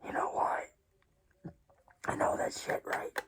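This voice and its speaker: A woman whispering a personal story in a hushed, tearful, casual way, swearing freely. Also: slow.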